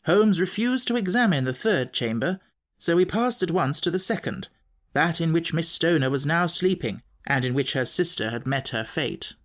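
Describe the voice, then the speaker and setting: A man reading literary fiction as an audiobook narrator, measured and precise in his storytelling. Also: fairly high pitch, lively intonation, fairly bright tone.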